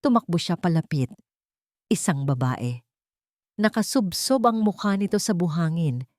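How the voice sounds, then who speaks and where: A woman's voice: fairly low pitch, lively intonation, a little slow, a little mellow, no echo, studio quality. A woman narrating a dramatic scripted story.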